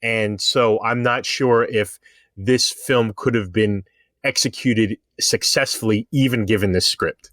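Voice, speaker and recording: A man's voice: on the low side, fairly even intonation, unhurried, fairly bright tone, no echo. A man casually discussing pop culture as a podcast panelist, reasoning things through at length in an explanatory way.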